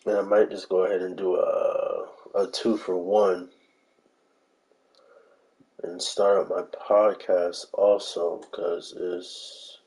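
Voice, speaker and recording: Low, gravelly congested man. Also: flat delivery, slow, poor audio. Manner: quiet, trying not to be loud. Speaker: streamer. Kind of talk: casual early-morning stream chat. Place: home room.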